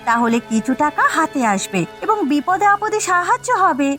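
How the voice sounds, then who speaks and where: A woman voicing a practical, inquisitive village wife in a cartoon story, with an expressive, probing way of talking. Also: high voice, moderate pace, faint music behind. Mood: practical advice. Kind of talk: Bengali cartoon story voice acting.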